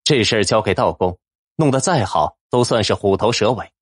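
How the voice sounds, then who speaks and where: A man's voice: on the low side, expressive, a little fast, dry recording, clean studio sound. A man voicing a cool, resolute Taoist cultivator in an audiobook, formal and decisive. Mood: approving. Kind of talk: audiobook character acting.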